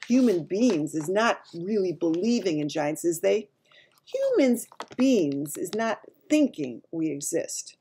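A woman's voice: fairly low pitch, sing-song, takes it slowly, warm tone, a little hiss or hum. A woman voicing a big male giant from a children's storybook, blustery and emphatic, with muddled grammar and invented words. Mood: earnest, explaining. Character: big friendly giant man, blustery, mangles his words.

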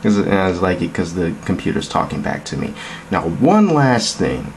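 A man with a low-pitched voice walks through an app demo. He talks informally and explains each step conversationally. The recording is indoors with a light layer of background noise. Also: big pitch swings, moderate pace, creaky. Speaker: app demonstrator. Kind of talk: casual tech demo narration. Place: indoors, phone demo on a wooden table.

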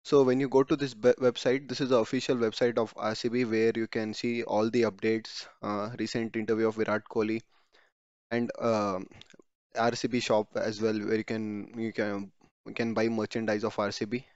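A man's voice: on the low side, flat delivery, normal speed, darkish tone, dry recording. A man narrating a screen-recorded tutorial in a conversational way, often repeating words and correcting himself mid-word. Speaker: YouTube tutorial host. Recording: dry, slightly dull home voice-over.

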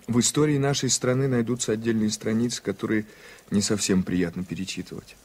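An actor playing an earnest, polite, doubting American journalist in a radio play, with formal, hesitant speech. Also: male voice, on the low side, fairly even intonation, brisk, a little mellow, close to an everyday voice. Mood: earnest, protesting. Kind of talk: 1980s radio play acting.

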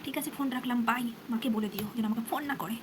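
A woman's voice: a little high, steady tone, racing, lightly acted. A woman voicing an arrogant, disdainful young man in an amateur puppet show, dropping English phrases into haughty speech. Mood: indignant, exasperated. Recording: noisy amateur recording.

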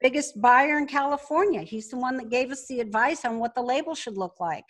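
An older woman with a high voice talks as a podcast guest. She tells her stories conversationally, with a plain-spoken and wry delivery. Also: fairly quick. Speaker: podcast guest, brand co-founder. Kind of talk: conversational storytelling interview.

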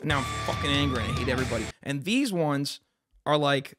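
A man's voice: a little high, big pitch swings, brisk, music in the background. A young man in his twenties who streams commentary from a small bedroom studio and talks into a close studio microphone. He talks casually and sarcastically, swears often and acts out mocking quotes. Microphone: studio mic close up on a boom arm.

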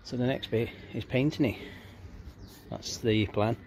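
A man talks through a casual DIY vlog in a mid-pitched voice that barely rises or falls. He is chatty and informal, rambling a little. He is recorded outdoors on a handheld device with clear ambient noise around him. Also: steady tone, a little slow, a little mellow. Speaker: DIY vlogger. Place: outdoors in a back garden. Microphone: camera or phone held by the speaker.

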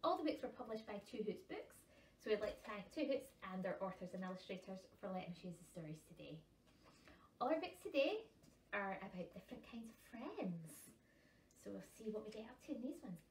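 A woman, reading picture books to young children with chatty, expressive asides. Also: mid-range voice, a little fast, a little hiss or hum. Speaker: library storytime presenter. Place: small carpeted room at home, seated on cushions. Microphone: camera a couple of metres away. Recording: roomy sound from a distant camera mic.

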